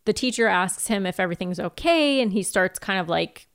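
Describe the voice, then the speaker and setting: A woman's voice: medium pitch, animated, brisk, smooth. Female podcast co-host, clean resonant tone, chatty and informal recapping. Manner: informal, giggly, recaps from notes. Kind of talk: casual TV episode recap chat.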